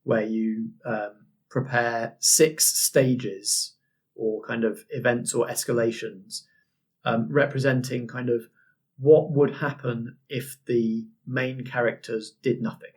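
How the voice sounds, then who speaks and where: A man with a rough-edged, husky voice of average pitch talks as a game master. He explains things informally, in measured, halting stretches. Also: steady tone, darkish tone. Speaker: game master and podcast guest. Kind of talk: casual explanatory chat.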